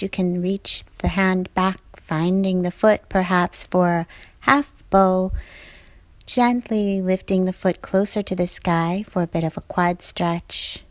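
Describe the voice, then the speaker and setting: Woman teaching yoga, real slow calm cues, soft n breathy at phrase ends, dry sound no room echo. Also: fairly low pitch. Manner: calm, measured cueing in short phrases. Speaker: yoga instructor. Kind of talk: guided yoga class instruction.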